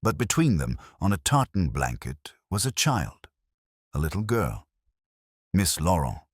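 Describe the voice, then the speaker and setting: Very deep-voiced man narrating a mystery. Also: very animated, unhurried, husky, dry recording, studio quality. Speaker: audiobook narrator. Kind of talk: scripted first-person mystery story narration.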